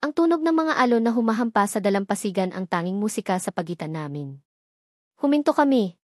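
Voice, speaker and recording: Female narrator for scripted romance fiction: mid-pitched, dramatic storytelling, close dry recording. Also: expressive, a little fast, darkish tone, no echo.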